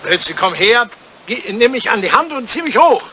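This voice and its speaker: Animated, doting old English lord. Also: male voice, very high voice, exaggerated voice. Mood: fussy, impatient. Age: older. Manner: animated, halting, coaxing and blustering. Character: doting elderly English lord, playful and impatient. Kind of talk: German radio play acting. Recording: noisy vintage radio play recording.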